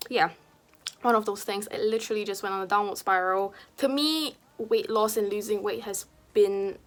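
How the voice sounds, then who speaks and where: A young woman in her twenties from Singapore, talking candidly and confessionally in a personal vlog, full of "like" and "you know," sometimes dropping to a soft whisper. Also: mid-range voice, moderate pace. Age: 20s. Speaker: vlogger and streamer.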